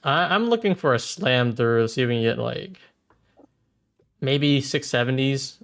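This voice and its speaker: A man's voice: medium pitch, unhurried, creaky, a bit bright, soft background music. A man in his thirties chatting casually on a livestream while trading, stammering and repeating words, laughing often. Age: 30s. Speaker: streamer trading futures live.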